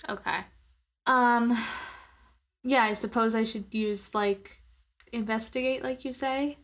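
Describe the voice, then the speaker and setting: A woman's voice: a little high, steady tone, takes it slowly, fairly bright tone. A woman playing a tabletop roleplaying game, casually describing her character's actions in a thoughtful, hesitant way. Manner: thoughtful, hesitant, searching for words.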